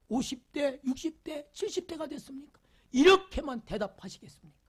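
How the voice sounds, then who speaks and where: Husky preaching pastor, loud then whispered. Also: male voice, extremely high pitch. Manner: repeats rhetorical questions, swinging from loud emphasis to near whispers; leads the congregation in call-and-response reading. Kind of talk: Sunday sermon.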